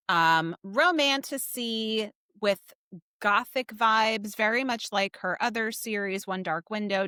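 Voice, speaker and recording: A woman's voice: mid-range voice, sharp tone, clear, resonant tone, dry recording, clean studio sound. A woman co-hosting a podcast, explaining things in a casual, conversational book discussion. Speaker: podcast co-host.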